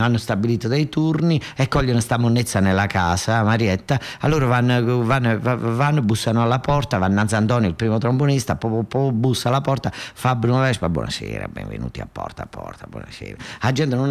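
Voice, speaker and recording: A man with a southern Italian accent telling a story as a colloquial theatrical monologue, emphatic and repetitive, often putting questions to his listeners. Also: medium pitch. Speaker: solo stage storyteller and actor.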